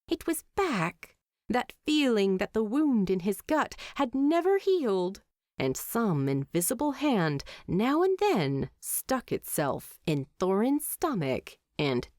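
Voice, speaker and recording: A woman narrating a story from a script in an expressive storytelling style. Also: medium pitch, takes it slowly, no room sound, clean studio sound.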